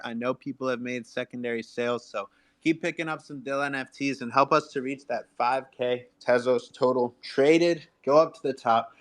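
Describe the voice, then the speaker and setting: A man speaks as a music artist and radio host making a live pitch. His delivery is hyped and salesy, aimed directly at the people listening. His voice sits at an ordinary middle pitch. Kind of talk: promotional livestream pitch.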